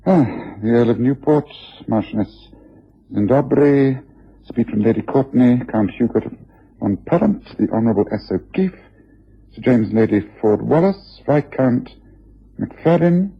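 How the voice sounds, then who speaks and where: A muffled, rough old radio drama recording of a shrewd English gentleman detective. His upper-class delivery is precise, decisive and highly animated, with theatrical self-assurance. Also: a little slow. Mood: musing, deductive.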